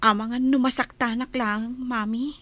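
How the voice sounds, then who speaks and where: A woman's voice: fairly high pitch, fairly even intonation, moderate pace, a bit bright, dry recording, lightly acted. A woman with an Ilocano accent playing a shy, modest young canteen server in a radio comedy drama. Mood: daughterly. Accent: Ilocano Filipino.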